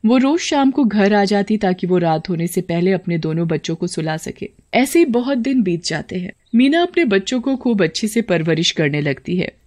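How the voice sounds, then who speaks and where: A woman narrating a cartoon horror story in a steady, scripted manner. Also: mid-range voice, moderate pace, hardly any pauses.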